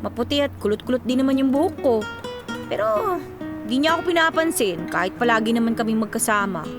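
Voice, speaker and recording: A woman's voice: a little high, expressive, fairly quick, close to an everyday voice. A Filipino actress in a radio drama playing a teasing, secretly lovelorn young woman in her twenties. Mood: wistful, confessing. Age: 20s. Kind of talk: Tagalog radio drama acting. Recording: music behind the dialogue.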